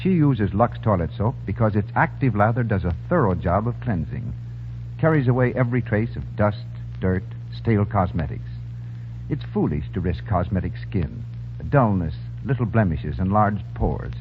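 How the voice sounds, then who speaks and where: A man's voice: lowish voice, expressive, moderate pace, faint music behind. A man reading a scripted commercial in 1930s radio announcer style. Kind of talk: 1930s radio advertising read. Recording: tinny, humming 1930s radio recording.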